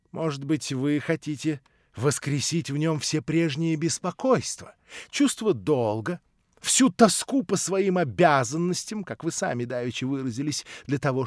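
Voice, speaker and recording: A man reading an audiobook voices an older nobleman, lowered, measured and cool. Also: dry recording, clean studio sound. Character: older nobleman, cold, condescending and smooth.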